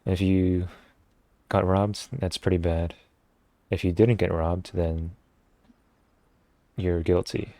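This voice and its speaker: A man's voice: low voice, steady tone, slow pace, pauses often, warm tone, dry recording. A man streaming a game live, casually commenting and reading dialogue aloud.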